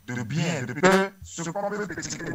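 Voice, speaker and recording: A man's voice: a little high, lively intonation, measured pace, fairly bright tone. A man from Benin with a Beninese French accent, speaking as a politician in an interview, earnest and rhetorical, repeating phrases for weight. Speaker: ruling-party politician interviewed by phone. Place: telephone call.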